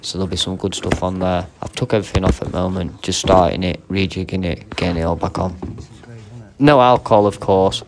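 A man's voice: sits low, steady tone, brisk, background noise. A man with an English accent giving casual, informal vlog commentary to viewers, chatting to them like mates. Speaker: video host and reseller. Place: indoor auction warehouse.

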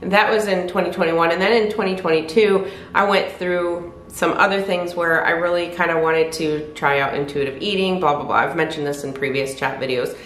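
A woman's voice: fairly low pitch, fairly even intonation, fairly quick, hardly any pauses, fairly bright tone, a little hiss or hum. A woman in her fifties chatting to camera in her living room, candid and rambling, often restarting her sentences. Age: 50s. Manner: rambling, candid, self-correcting. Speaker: weight loss vlogger. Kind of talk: casual chat to camera. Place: living room by a fireplace, indoors. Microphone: camera at desk distance. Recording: echoey room.

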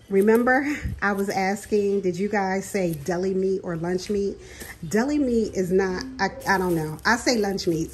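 A woman's voice: medium pitch, a little mellow, a little hiss or hum. A middle-aged woman, chatting casually to her viewers in her kitchen while she films her groceries, calling them "girl" and laughing often. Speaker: vlogger. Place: home kitchen. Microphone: phone camera filming hands over a counter.

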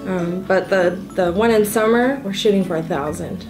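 A woman's voice: mid-range voice, moderate pace, poor audio. A woman in her forties, seated at a table indoors, chatting casually in an interview with an upbeat, easy manner and frequent ums. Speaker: event co-founder. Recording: soft music bed underneath.

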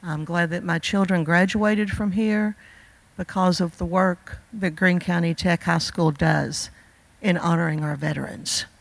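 A woman in her sixties, a longtime teacher, giving a heartfelt speech at a podium in a school auditorium, earnest and full of pauses and fillers. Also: on the low side, fairly even intonation, creaky, no echo, low-quality recording. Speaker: teacher presenting awards. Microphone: podium microphone.

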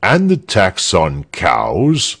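Man acting a pompous, low-voiced tyrant governor. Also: huge pitch swings, very slow, creaky, fairly bright tone, full character voice. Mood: smug. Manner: curt, pompous, sardonic. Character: haughty, coldly menacing tyrant male governor.

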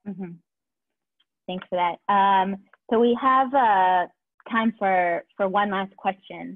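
A young woman moderates a Q&A over a video call, speaking at a middle pitch in a polite, measured way with small hesitant fillers. Her voice comes through thin and phone-like, as from earbuds in a small room. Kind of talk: moderating a livestream Q&A.

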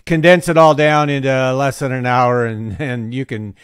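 Podcast host, man in his fifties, smooth resonant voice, dry close sound, upbeat and jokey. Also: medium pitch, steady tone, measured pace, no room sound. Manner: upbeat, jokey introductions, brief affirmations. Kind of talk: interview podcast hosting. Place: home studio. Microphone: studio mic on boom arm.

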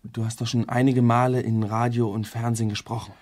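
A man's voice: medium pitch, level tone, brisk, a little mellow, close to an everyday voice. An actor playing an impassioned, idealistic younger man, pressing and informal, in a radio drama. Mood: urging. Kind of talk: German radio drama acting.

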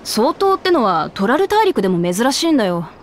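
A woman's voice: a little high, sing-song, a little fast, a little hiss or hum. A woman voicing a brash, hearty young lioness warrior in a Japanese video game, speaking bluntly like a tomboy. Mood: matter-of-fact explaining. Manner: blunt, rough tomboyish speech.

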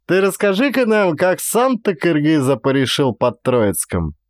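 A man's voice: fairly high pitch, sing-song, a little slow, no echo, clean studio sound. A man voicing a jovial, needling fellow who teases and presses his companion, in a dramatised audiobook. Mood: mirthful teasing. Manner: teasing, mirthful, pressing. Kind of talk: dramatised audiobook character acting.

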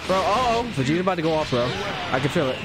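A young man streaming a video game through a headset at home, reacting with loose, slangy excitement. He says himself that his voice is fairly high. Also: fast, rough recording. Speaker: gaming streamer. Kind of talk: casual gameplay commentary. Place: home gaming setup, indoors. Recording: game music playing behind. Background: game music and sound effects.

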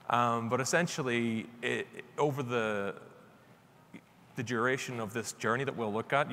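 A man's voice: lowish voice, a bit bright. A middle-aged man with a Northern Irish accent gives an informal conference talk in a conversational, self-deprecating way. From Northern Ireland. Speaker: conference speaker, author. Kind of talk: informal live presentation. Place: large conference stage indoors. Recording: reverberant hall with background noise.

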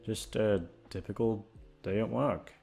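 A young British man chatting casually and hesitantly, meandering, repeating words and gently mocking himself. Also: on the low side, fairly even intonation, a little slow, vocal fry, soft background music. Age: young adult. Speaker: voice actor talking to listeners. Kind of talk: casual rambling chat.

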